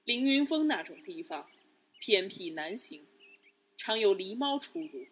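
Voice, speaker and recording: A woman playing a stern, plain-spoken Buddhist nun in a Chinese palace radio drama, measured, subdued and grave. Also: mid-range voice, big pitch swings, a bit bright, breathy edge, heavily acted. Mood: grave, reproachful.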